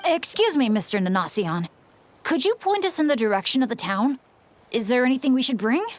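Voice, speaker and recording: High, earnest young adventurer. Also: female voice, sing-song, a little fast, a little hiss or hum. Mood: polite inquiry. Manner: sincere, courteous. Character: earnest, polite, encouraging young woman adventurer. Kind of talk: video game voice acting.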